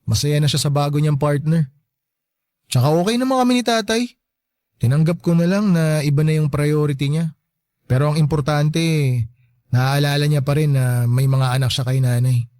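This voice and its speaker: A man's voice: fairly high pitch, moderate pace, pauses often, darkish tone, no room sound, studio quality, close to an everyday voice. A young man with a Filipino accent acting a hurt, forgiving son and fiancé in a radio drama, earnest and resigned. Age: young adult.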